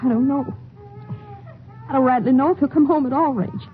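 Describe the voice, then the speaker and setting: Nervous wife and young mother, stammering and halting, in an old radio play played by a woman. Muffled, tinny broadcast with light hiss. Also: high-pitched, close to an everyday voice. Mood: worried. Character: anxious young wife, worried about money. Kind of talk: 1950s radio drama acting.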